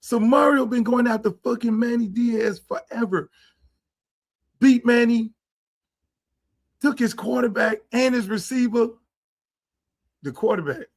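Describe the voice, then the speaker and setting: A man hosting a livestream show. He rants in a blunt, profane, slang-heavy way and keeps slipping into quick impressions of other people. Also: very high voice, vocal fry. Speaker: show host. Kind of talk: casual livestream rant. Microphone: streaming setup.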